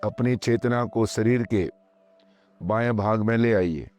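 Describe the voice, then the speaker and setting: A man leading a guided meditation, speaking calmly and measuredly in short phrases with pauses, as slow instructional narration. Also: on the low side, lo-fi sound. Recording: voice over background music. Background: meditation music.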